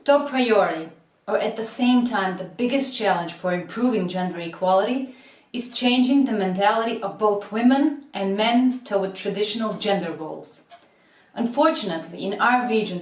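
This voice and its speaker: A woman, a human rights advocate, giving a steady, formal prepared statement. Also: mid-range voice, normal speed. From Croatia. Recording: echoey room, distant mic.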